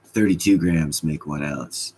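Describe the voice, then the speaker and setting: A man's voice: extremely low pitch, a little slow, darkish tone, slightly rough. A man with a slight Scottish accent hosting a casual livestream, reading chat comments and joking with loose, profane banter.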